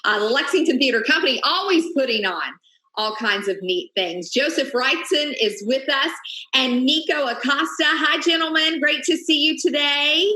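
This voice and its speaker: A middle-aged woman hosts an upbeat local TV segment from home. Her voice is high and bright, caught on a roomy-sounding video call. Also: moderate pace. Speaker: TV lifestyle segment host. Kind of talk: upbeat interviewing on local news. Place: indoors at home, small room.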